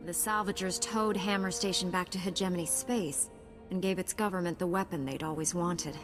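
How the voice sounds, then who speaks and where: Resolute, lowish-voiced Jedi knight played by a woman. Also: fairly even intonation, moderate pace, over music, lightly acted. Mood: determined. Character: resolute, confident young female Jedi knight hero. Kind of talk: scripted game dialogue.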